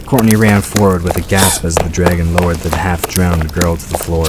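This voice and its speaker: A man's voice: deep voice, level tone, normal speed. A man reading scripted fantasy fiction as the narrator of a story. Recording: clear background ambience noise.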